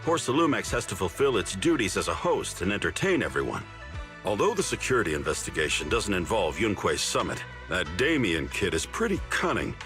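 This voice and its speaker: An actor playing a cunning, easygoing senior disciple in a video game, explaining things with confidence and expression. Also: male voice, sits low, very animated, a little fast, over music. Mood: sly, confident.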